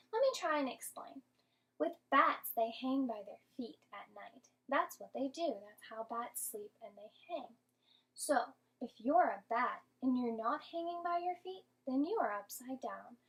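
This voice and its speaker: A woman teacher giving an interactive storybook read-aloud to young children, expressive and patient, often asking and explaining. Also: high voice, a little slow.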